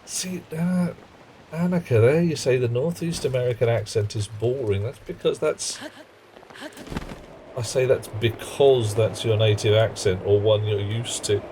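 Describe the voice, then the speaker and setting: A man's voice: medium pitch, steady tone, measured pace, dark tone, slight background noise. A man streaming a game live, chatting wryly with viewers in a dry, muttering way. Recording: game stream with background music.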